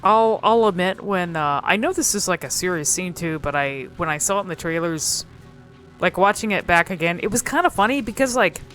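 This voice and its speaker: A woman's voice: fairly low pitch, expressive, a little fast, a bit bright, soft background music. A woman hosting casual, unscripted game commentary, musing and thinking aloud in a hesitant way. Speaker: video host exploring game cutscenes.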